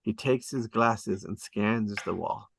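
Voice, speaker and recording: A man narrating screenplay stage directions at a table read in a measured, descriptive way, with short dramatic phrases. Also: lowish voice, vocal fry, slight background noise.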